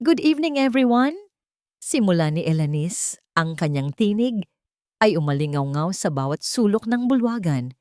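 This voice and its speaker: A woman narrating a scripted dramatic story in a measured, literary manner. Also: sits low, lively intonation, warm tone, dry recording, clean studio sound.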